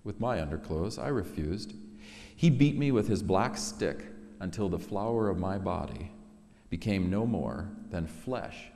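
A middle-aged man gives a solemn, dramatic poetry reading, measured and expressive, with weighty pauses. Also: deep voice, creaky. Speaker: poet reading own work. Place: large synagogue sanctuary, at a lectern. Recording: echoey hall reverb.

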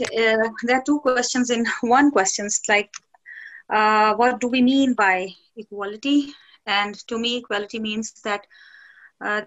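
A woman's voice: fairly high pitch, fairly bright tone, muffled recording. Earnest woman doctor from Pakistan. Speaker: panelist, doctor. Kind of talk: panel discussion answer. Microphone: video call.

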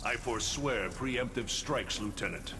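A man performs a solemn protector god in a fighting game. His delivery is stern, measured and formal. Loud music and effects play behind every word. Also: mid-range voice, natural voice. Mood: solemn, commanding. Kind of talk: dramatic fighting game dialogue. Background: game music and sound effects.

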